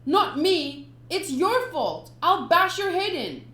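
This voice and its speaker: A woman voicing a defiant young boy who works as a ship's cook, shouting threats in an echoey room. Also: very high-pitched, very animated, slow, voice pushed up, heavily acted. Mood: furious. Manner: hot-headed, shouting threats.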